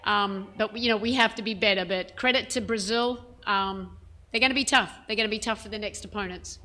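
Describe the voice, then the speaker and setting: A woman head coach answering reporters' questions at a press conference, frank and measured, with plenty of "um" and "you know". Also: medium pitch, bright, forceful tone. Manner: frank, measured, many fillers.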